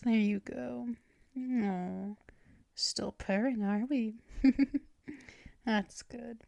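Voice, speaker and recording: A woman playing a doting vampire mistress, whispery and very slow. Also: a little high, gravelly, dry recording, studio quality, heavily acted. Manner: soft and soothing, drifting into whispers and shushing. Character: gentle female vampire mistress, doting and possessive. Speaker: ASMR performer. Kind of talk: ASMR roleplay.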